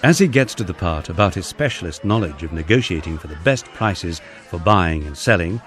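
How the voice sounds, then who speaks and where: Low-voiced man narrating a wry memoir. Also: animated, a little fast, fairly bright tone, soft background music. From England. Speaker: audiobook narrator. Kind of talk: first-person memoir narration.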